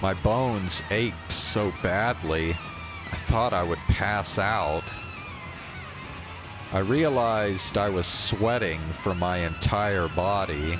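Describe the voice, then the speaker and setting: A man with a very low voice narrates a visual novel in the first person. His reading is dramatic and deliberate, moving along at a slow pace. Music plays clearly behind him.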